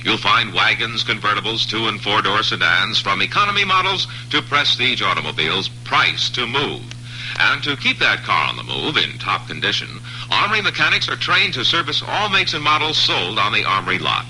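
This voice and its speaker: A man's voice: fairly low pitch, big pitch swings, moderate pace, sharp tone, low-quality recording. A man reading a radio commercial in an upbeat, persuasive sales style. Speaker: radio ad announcer. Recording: old radio broadcast with faint hum.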